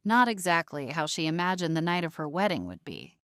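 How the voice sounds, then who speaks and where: A woman narrator delivering scripted romantic drama in a measured, emotive storytelling manner. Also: on the low side, very animated, fairly bright tone, no room sound, studio quality.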